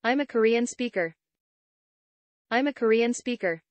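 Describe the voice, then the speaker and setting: A woman reading short English sentences slowly and clearly for learners, repeating each one evenly. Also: on the high side, expressive, dry recording.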